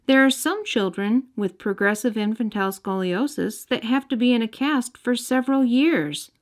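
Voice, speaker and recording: A woman reading a story aloud expressively for young children. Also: mid-range voice. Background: music.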